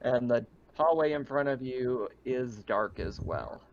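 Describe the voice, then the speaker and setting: A man with a creaky, medium-pitched voice runs a tabletop roleplaying game. He explains scenes conversationally and casually. The recording sounds slightly dull and lo-fi. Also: animated, measured pace. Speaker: game master.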